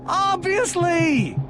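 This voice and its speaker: An actor playing a prickly, excitable eccentric scholar in a video game, theatrical and fussy in his speech. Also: very high voice, background noise, heavily acted. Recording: loud music behind the voice.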